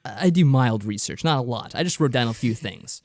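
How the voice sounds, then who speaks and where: A man's voice: mid-range voice, expressive, moderate pace, darkish tone, no echo, studio quality, faint music behind. A man co-hosting a podcast, explaining things in a casual, loosely phrased review style. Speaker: podcast co-host.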